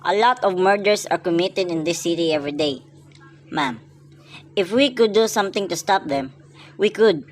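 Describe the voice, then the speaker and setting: A woman's voice: fairly low pitch, normal speed, a little hiss or hum, close to an everyday voice. A woman playing an unimpressed, patronizing city police officer in a radio play, placating and dismissive. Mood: skeptical, weary.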